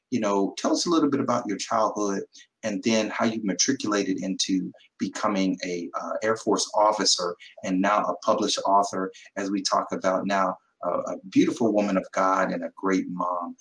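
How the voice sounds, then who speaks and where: A male talk show host in a studio, asking long, run-on questions and complimenting his guest warmly. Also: low voice, normal speed, poor audio. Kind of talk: interview questions. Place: television studio.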